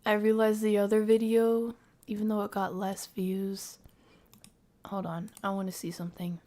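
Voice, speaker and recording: A woman's voice: mid-range voice, flat delivery, normal speed, dark tone. A woman livestream host giving casual live reaction commentary, thinking aloud in unfinished, circling sentences.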